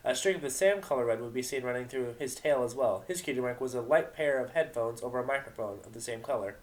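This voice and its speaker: A man's voice: medium pitch, fast talker. A man reading a story aloud as narrator, in a dramatic narration style. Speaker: narrator of a fan fiction reading.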